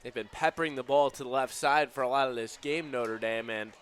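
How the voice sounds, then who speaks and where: A man giving conversational softball analysis as a radio color commentator. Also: medium pitch, fairly quick, clean tone.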